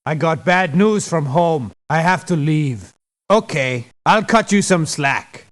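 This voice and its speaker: A high-pitched, scornful mercenary. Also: male voice, animated, slow pace. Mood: fed up. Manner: sarcastic, scornful. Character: exasperated, dismissive, sharp-tongued male mercenary. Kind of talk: video game character voice lines.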